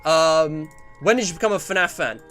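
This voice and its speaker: English male streamer, around twenty, slightly high-pitched, casual and discursive, faint music underneath. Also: normal speed, fairly bright tone. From England. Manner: rambling, self-interrupting. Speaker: livestreamer. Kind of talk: casual livestream chat, reading out survey and viewer messages.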